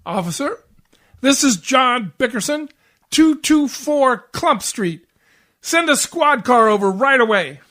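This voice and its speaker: Grouchy, self-pitying husband played by a man for vintage radio comedy; whiny melodrama, very unhurried delivery. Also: very high-pitched, animated, bright, forceful tone, exaggerated voice. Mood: agitated. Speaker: community theatre actor. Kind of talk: 1940s radio comedy acting.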